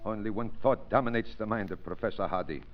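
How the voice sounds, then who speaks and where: A dull vintage radio recording with faint noise carries a man acting a captive psychiatrist. He is dignified and defiant, speaking formally in a medium-pitched voice. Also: quick pace, natural voice. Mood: weary refusal. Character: principled, defiant male psychiatrist held captive. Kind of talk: 1930s radio drama acting.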